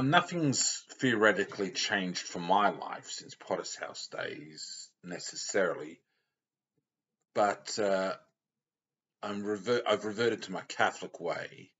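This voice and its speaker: Middle-aged lay preacher, very low husky voice, dull webcam audio, rambling delivery. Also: male voice, measured pace, fairly bright tone. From Australia. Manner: rambling, self-correcting, plainspoken. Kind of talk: casual webcam sermon. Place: small room indoors. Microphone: webcam close up.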